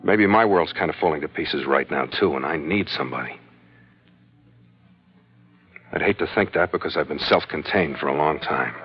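A man's voice: rumbling low, fairly quick. An actor playing a tough, self-contained adventurer in an old radio drama, telling his story in a terse, hard-boiled way. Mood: earnest, guarded. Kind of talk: old-time radio drama acting and first-person narration. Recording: dull vintage radio recording, loud music behind.

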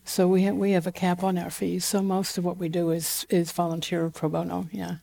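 New Zealand woman, warm and gravelly. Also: lowish voice, fairly quick. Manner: relaxed, frequent fillers and restarts, light laughs. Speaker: guest, genetic genealogist. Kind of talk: casual interview.

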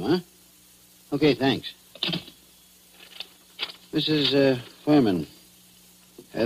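An American actor playing a shrewd, blunt police chief in 1940s radio style, terse and folksy. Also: male voice, on the high side, slightly rough, heavily acted, low-quality recording. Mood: brisk. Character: shrewd, blunt small-town police chief questioning suspects.

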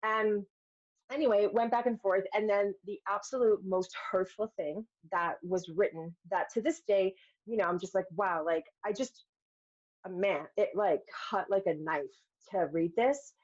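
A woman's voice: mid-range voice, moderate pace. Woman on a podcast, dull lo-fi sound. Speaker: podcast guest, filmmaker and speaker. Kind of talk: candid podcast conversation, personal storytelling.

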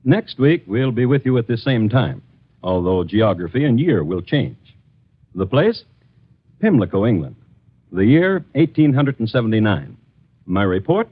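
An actor playing a grave, theatrical crime chronicler who narrates in a formal, florid 1950s radio drama style. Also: male voice, medium pitch, big pitch swings, measured pace, husky. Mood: formal, measured. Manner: formal, portentous, florid storytelling. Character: grave, theatrical male crime chronicler reporting historic cases. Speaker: narrator and host. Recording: muffled old radio recording with music.

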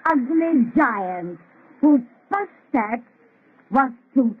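A woman's voice: high-pitched, lively intonation, drawn-out words, husky, heavily acted. An actress playing a gleeful, folksy hundred-year-old witch who tells horror stories on an old radio show. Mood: gleeful, sinister. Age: older. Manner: folksy, rustic, gloating. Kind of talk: 1930s radio horror host acting. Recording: thin, tinny old radio recording.

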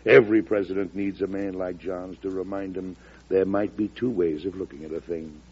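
An American man acting a genial, shrewd older president in a 1940s radio drama, gravelly and husky. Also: low-pitched, fairly even intonation, moderate pace, darkish tone. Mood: genial, teasing. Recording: muffled old radio recording.

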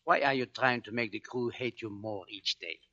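An actor playing an earnest, loyal American sailor, quietly questioning, in 1940s radio drama style. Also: male voice, medium pitch, normal speed, close to an everyday voice. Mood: quiet, reproachful. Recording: dull 1940s radio recording.

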